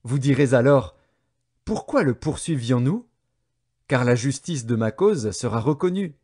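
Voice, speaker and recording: A man narrating an audio Bible in a solemn, measured way, enunciating each phrase with care. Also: medium pitch, animated, a little mellow, breathy edge. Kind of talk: scripted scripture narration.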